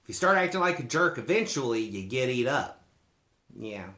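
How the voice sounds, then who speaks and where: Read-aloud host for kids, playful and theatrical, with hugely swooping pitch. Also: very animated, normal speed, fairly bright tone. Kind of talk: expressive storybook reading.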